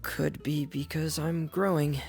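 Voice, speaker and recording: A man's voice: on the high side, steady tone, unhurried, a little mellow, faint music behind. A man voicing a shy, secretive younger brother in a video game, speaking in a hesitant, subdued way. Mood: evasive, sheepish.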